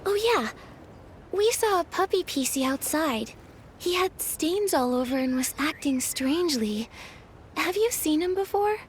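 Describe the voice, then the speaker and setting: A woman playing a gentle, polite, curious young heroine in a video game. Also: high-pitched, lively intonation, a little slow, a little hiss or hum. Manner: polite, inquisitive. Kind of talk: video game character acting.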